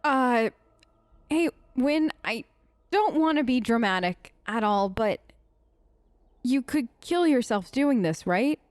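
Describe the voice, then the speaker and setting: A woman's voice: a little high, expressive, slow pace, long pauses, no room sound. A woman improvising in character for a video game let's play, chatty and hesitant, with an awkwardly polite manner.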